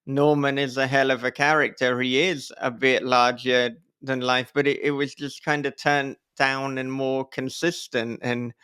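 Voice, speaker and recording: Male podcast host, medium pitch, crisp resonant voice. Casual, wordy, effusive, swears lightly. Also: little pitch movement, measured pace, hardly any pauses, fairly bright tone.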